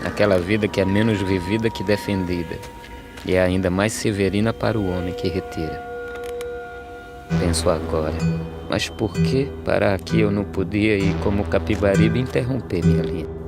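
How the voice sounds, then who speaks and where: An actor with a Brazilian accent playing a weary, humble, earnest migrant farm labourer in an animated verse drama. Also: male voice, deep voice, normal speed. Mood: weary, pondering. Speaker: narrator and lead character of animated verse drama. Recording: music under the voice.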